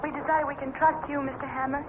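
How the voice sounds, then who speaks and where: An actress playing a worried, trusting wife speaking earnestly over a telephone in a 1950s radio drama. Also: female voice, high voice, level tone, fast talker, phone-quality sound. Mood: anxious, trusting. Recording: distant, thin phone on noisy old radio.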